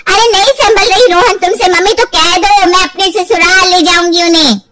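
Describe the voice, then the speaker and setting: A woman's voice: extremely high pitch, steady tone, normal speed, distant mic, voice pushed up. A woman voicing an accusing, sarcastic, overdramatic married daughter in an acted storybook. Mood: angry, accusing. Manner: sharp-tongued, dramatic, sarcastic. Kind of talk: acted Hindi audio storybook.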